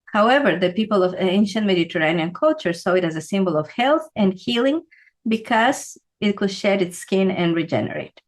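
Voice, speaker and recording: A woman's voice: medium pitch, expressive, moderate pace. Chatty woman teaching with frequent "right?". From Ecuador. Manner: conversational, asks "right?" often. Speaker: feng shui teacher. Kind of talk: explanatory talk to camera.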